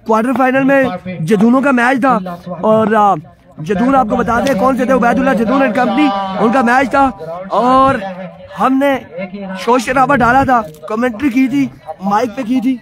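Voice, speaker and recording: Man, hoarse, Pakistani accent, very high voice, excitable cricket commentary, loud crowd outdoors. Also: measured pace. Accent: Pakistani Hindko-Urdu. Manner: excitable, chatty, reads out viewer comments. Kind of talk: live tape-ball cricket commentary.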